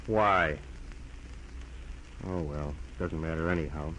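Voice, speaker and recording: An actor playing a self-doubting, lovestruck younger brother in a 1940s American radio drama, speaking hesitantly with stammers. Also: male voice, extremely low pitch, slow, a bit bright, heavily acted. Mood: dejected, confessing. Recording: noisy old 1940s radio recording.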